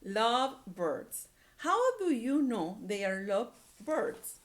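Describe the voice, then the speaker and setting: A woman's voice: a little high, very animated, very slow. A woman teaching a read-aloud lesson to young children, repeating words and phrases and coaxing her listeners along with questions. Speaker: early-grade reading teacher.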